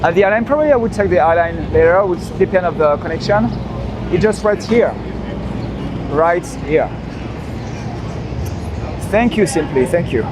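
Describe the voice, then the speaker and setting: A man's voice: high voice, lively intonation, normal speed, long pauses. A man with a French accent hosting a live walking tour, chatting as he strolls the city and often repeating himself with "you know" asides. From France. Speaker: walking tour livestream host. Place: outdoors on busy city streets. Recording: loud street traffic behind the voice. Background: city traffic and street noise.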